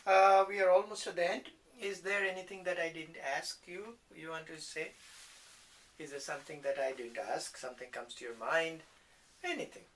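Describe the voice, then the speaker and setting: A man's voice: a little high, steady tone, moderate pace, a bit bright, slight background noise, rough recording. A man, asking questions informally as an interviewer.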